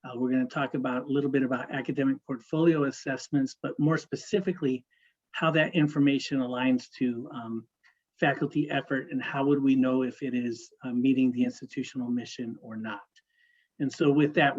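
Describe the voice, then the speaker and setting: A man's voice: mid-range voice, steady tone, fairly quick. A man in his fifties presents to a webinar audience in a friendly, filler-heavy way. His sound is a bit dull and muffled. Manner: friendly, many "uh" fillers and doubled words. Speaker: consultant, panelist. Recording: slightly dull audio.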